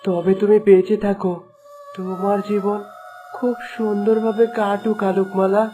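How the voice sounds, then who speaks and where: A man's voice: sits high, level tone, moderate pace, mellow, lightly acted. Guy doing a lovelorn cartoon hero, earnest n melodramatic, music in the background. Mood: anguished, resigned. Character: remorseful, lovelorn male hero of a folk tale.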